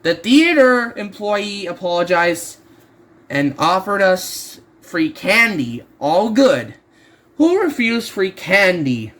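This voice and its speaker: A man narrates a creepy story as an amateur reader. His voice sits quite high for a man and he goes slowly. His delivery is halting, with words repeated and restarted as he reads. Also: sing-song, fairly bright tone. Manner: halting, stumbles and repeats words while reading. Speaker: narrator of horror stories. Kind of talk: amateur creepypasta reading aloud.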